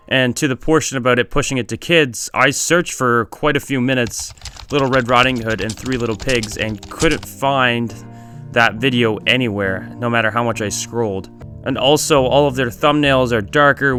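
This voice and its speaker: A man's voice: mid-range voice, fairly bright tone. A man hosting a video essay, reading scripted narration. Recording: music behind the voice.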